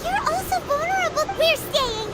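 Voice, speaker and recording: A woman voicing an excitable, bossy little female fairy companion in a video game, with a shrill, squeaky voice and urgent, exclamatory delivery. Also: normal speed, loud music behind the voice, a loud crowd. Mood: alarmed. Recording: game audio with loud soundtrack.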